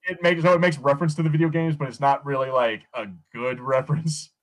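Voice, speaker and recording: A man's voice: fairly high pitch, fairly even intonation, quick pace. A man co-hosting a podcast in casual pop culture banter, with a sweary, sardonic way of talking. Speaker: podcast co-host.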